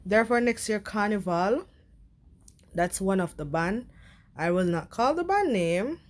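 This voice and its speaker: A woman's voice: medium pitch, expressive, a little slow. A young woman with a Trinidadian accent, chatting casually to her viewers in a vlog and slipping into Trini creole. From Trinidad and Tobago. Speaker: vlogger.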